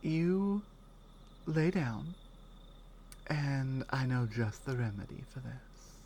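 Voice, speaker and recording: A woman playing a strong, caring Nordic warrior companion in an intimate ASMR roleplay, speaking in halting phrases that often drop toward a whisper. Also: rumbling low, animated, warm tone, a little hiss or hum, heavily acted. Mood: reassuring, instructive. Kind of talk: ASMR roleplay, intimate one-sided dialogue.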